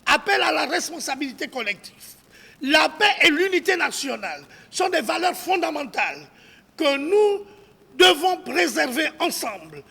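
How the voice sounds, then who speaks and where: A man's voice: very high voice, very animated, moderate pace, vocal fry, bright, forceful tone. A man solemnly reads out a formal public statement as a civil society spokesman, declaiming and sometimes stumbling over the text. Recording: long reverberation of a hall.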